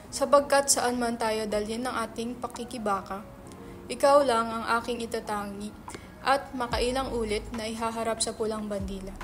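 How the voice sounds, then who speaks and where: A woman playing an excitable, theatrical young male writer who likes to tease, in a dramatic reading of a stage play. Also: fairly high pitch, lightly acted. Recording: background music under the voice.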